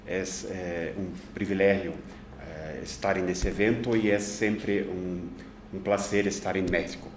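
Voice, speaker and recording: Man, Brazilian Portuguese accent, low voice, hesitant reading, echoey conference hall. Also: fairly even intonation, slight background noise. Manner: hesitant, many pauses and fillers. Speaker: conference speaker. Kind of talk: academic paper reading.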